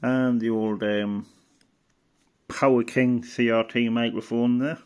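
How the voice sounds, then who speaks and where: A man giving casual, unscripted hobbyist commentary, halting and muttering as he browses, often trailing off. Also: medium pitch, level tone, darkish tone, clear, resonant tone. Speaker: hobbyist presenter.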